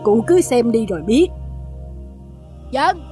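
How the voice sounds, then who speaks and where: A woman voicing a pompous, bossy fat master of the house in an animated folktale. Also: fairly high pitch, slow pace. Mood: bossy, irritated. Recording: music behind the voice.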